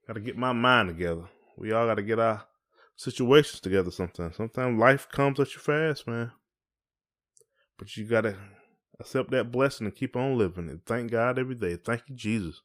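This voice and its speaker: A man's voice: medium pitch, brisk, a bit bright. Man chatting casually on a podcast. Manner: folksy, colloquial phrasing. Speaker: podcast co-host. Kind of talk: casual conversational podcast chat.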